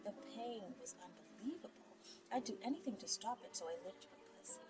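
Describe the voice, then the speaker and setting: Woman narrating dramatically over loud music. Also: medium pitch, expressive, a little fast, recorded from a distance. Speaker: channel host reading an erotic story. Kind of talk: dramatized first-person story narration.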